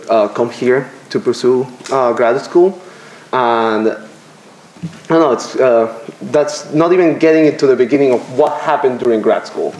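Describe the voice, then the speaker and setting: A young man from Venezuela speaks into a podium microphone in a lecture room, giving a commencement speech. He pauses often and jokes at his own expense. The room is roomy and echoey, with a faint hum behind him. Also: medium pitch, a little mellow. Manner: halting, self-deprecating, with filler pauses. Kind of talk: graduation speech. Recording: roomy lecture hall echo.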